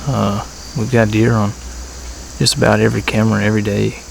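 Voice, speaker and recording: A man talking casually to camera as a bowhunting vlogger, in a laid-back, halting way, outdoors. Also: on the low side, flat delivery, vocal fry, poor audio. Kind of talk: casual vlog talk to camera. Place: outdoors in a tree saddle at a pasture. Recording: outdoor ambience with faint insect noise. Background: insects and crickets.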